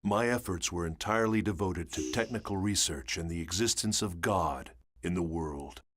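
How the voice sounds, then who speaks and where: An actor playing a grave, weary chronicler, giving scripted dramatic narration for a game saga. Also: male voice, sits low, normal speed, background noise, natural voice. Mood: grave, solemn.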